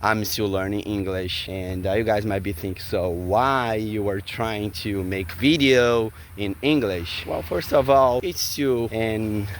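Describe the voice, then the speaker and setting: A man in his forties from Brazil, with a Brazilian Portuguese accent and Portuguese as his first language, vlogging casually outdoors after a jog, halting and searching for words with many fillers. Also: lowish voice, slight background noise. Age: 40s. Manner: just back from a jog. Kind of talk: casual vlog to camera. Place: outdoors in a park.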